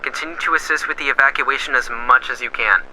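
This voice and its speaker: A man playing a calm police dispatcher giving orders over the radio. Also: medium pitch, fast, very noisy, natural voice. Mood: steady. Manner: crisp, procedural radio talk. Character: calm male police dispatcher, steady and procedural. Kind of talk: amateur radio drama acting.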